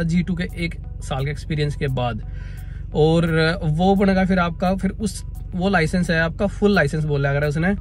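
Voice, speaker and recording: A young man from India with a Haryanvi accent, slightly hoarse, chatting casually to the camera outdoors in the snow, explaining things to his viewers as brother. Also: on the high side. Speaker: vlogger. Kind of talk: casual vlog talk to camera. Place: outdoors in snowy weather by his car.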